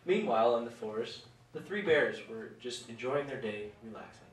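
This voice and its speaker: A man's voice: mid-range voice, lively intonation, normal speed. A man narrating a scripted storybook tale as part of a puppet show. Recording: very echoey room, distant, faint noise.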